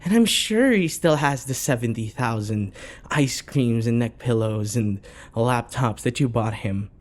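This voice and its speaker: A man playing a possessive, obsessive dating-game character in an intimate ASMR roleplay, softly coaxing with endearments, sighs and whispers. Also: medium pitch, lively intonation, unhurried, close to an everyday voice. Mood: explaining, coaxing.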